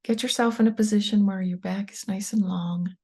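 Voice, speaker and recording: Calm woman meditation guide, breathy near-whisper, steady unvaried tone. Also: mid-range voice. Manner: soft, slow and soothing, often near a whisper. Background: soft meditation music with singing bowl tones.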